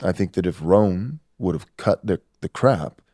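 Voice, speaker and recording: A man in a played podcast clip, discussing religious history in a measured, deliberate way. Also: low-pitched, lively intonation, mellow, breathy edge, no echo, clean studio sound.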